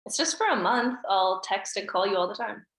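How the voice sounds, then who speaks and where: A woman's voice: medium pitch, animated, brisk, fairly bright tone. Guarded teen girl, subdued, pessimistic, woman at a table read, roomy echo.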